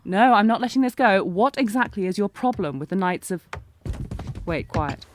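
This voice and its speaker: A woman's voice: mid-range voice, expressive, moderate pace, natural voice. A woman playing a sardonic, protective knight bodyguard in a fantasy audio roleplay, with a taunting, commanding, intense manner. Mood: insistent, tense. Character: sardonic, protective female knight bodyguard, old academy rival. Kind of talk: scripted fantasy audio roleplay, acted one-sided dialogue.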